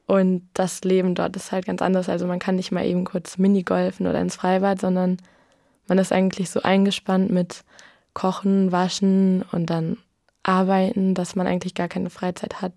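A woman's voice: medium pitch, mellow, no echo, studio quality. A young woman in her twenties, a student and former volunteer, answering interview questions in a plain, casual way. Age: 20s.

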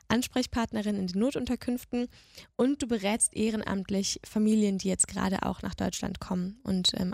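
A woman hosting a radio interview in a measured way, pausing mid-sentence as she phrases questions and summaries. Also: mid-range voice, gravelly, no echo, studio quality.

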